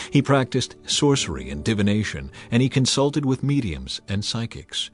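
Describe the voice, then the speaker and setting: Man, scripture narrator, measured solemn reading, mid-pitched voice, soft music behind.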